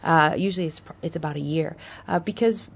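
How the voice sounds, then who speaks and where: A woman's voice: low voice, quick pace, vocal fry, slightly rough, muffled recording. An American woman speaking as a radio guest, thoughtful, with many fillers and restarts. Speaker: guest from a charity. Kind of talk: radio interview.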